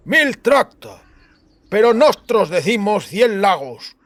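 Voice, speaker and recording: A man's voice: sits high, very animated, slow pace, no room sound, voice pushed up, full character voice. A man voicing a wary, frightened peasant fisherman with a rustic way of clipping his words. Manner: rustic dialect with clipped word endings.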